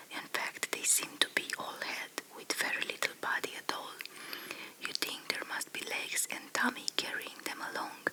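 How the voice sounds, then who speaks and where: A woman whispering a second-person fairy tale slowly and softly, in the style of ASMR storytelling. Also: low-quality recording. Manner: soft, slow, hushed whisper.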